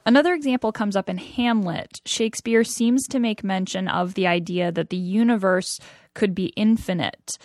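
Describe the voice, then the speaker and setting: A woman hosting, reading smooth scripted narration into a close studio mic. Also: medium pitch, dry recording. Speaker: host narrator.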